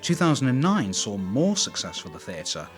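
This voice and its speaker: A man's voice: mid-range voice, very animated, moderate pace. A man reading scripted documentary narration, with wry asides. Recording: voice over background music.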